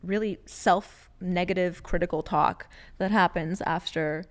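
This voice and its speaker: A woman co-hosting a podcast in casual, unscripted chat, animated and informal as she thinks aloud. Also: lowish voice, measured pace. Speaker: podcast co-host.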